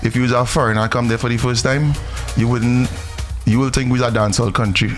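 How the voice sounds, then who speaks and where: A man's voice: medium pitch, fairly even intonation, fast talker, faint music behind. A man from Trinidad and Tobago with a Trinidadian accent, talking emphatically in a casual panel discussion. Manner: emphatic, patriotic.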